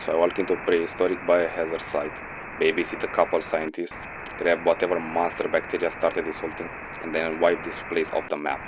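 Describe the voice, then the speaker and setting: A man's voice: deep voice, monotone, fairly quick, natural voice. A man playing a jaded, sardonic mercenary in a game audio drama, blunt and grumbling in his delivery. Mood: dry, sardonic. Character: jaded, sardonic male mercenary codenamed Phantom. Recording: dull lo-fi audio, noisy vehicle-like background.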